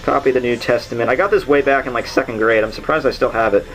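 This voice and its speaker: A man's voice: mid-range voice, fast, fairly bright tone, low-quality recording. A man giving a casual, unscripted explanation as host, rambling and dropping jokey asides. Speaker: host of a literature summary series. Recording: music playing under the voice. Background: background soundtrack music.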